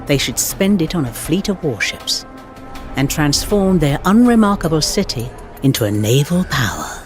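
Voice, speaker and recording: A man narrating history for game cutscenes as a measured, dramatic storyteller with wry asides. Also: on the high side. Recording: music under the voice. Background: background music.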